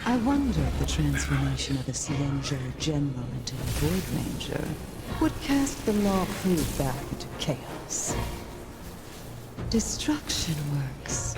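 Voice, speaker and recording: Slow, low-voiced smug villainess over loud music. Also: female voice, very animated. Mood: gloating. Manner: taunting, drawn-out, theatrical delivery. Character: smug, theatrical female villain serving destruction. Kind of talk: video game cutscene voice acting.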